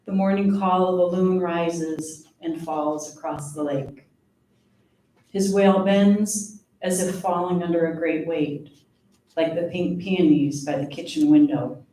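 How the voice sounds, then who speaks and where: Female poet, live reading, unhurried measured delivery; very reverberant, distant recording with faint noise. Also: lowish voice, dark tone. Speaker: poet reading own work. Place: live reading event before an audience. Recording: very echoey room, distant mic, faint noise.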